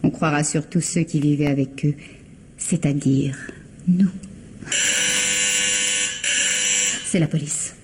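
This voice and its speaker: A cool, scheming bourgeois woman acted by an actress with a rather low voice, in a radio mystery. She is composed and insinuating, polite on the surface. Faint noise sits behind her. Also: steady tone, moderate pace, natural voice. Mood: cold, scheming. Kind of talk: French radio mystery drama acting. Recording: radio drama with audible background noise.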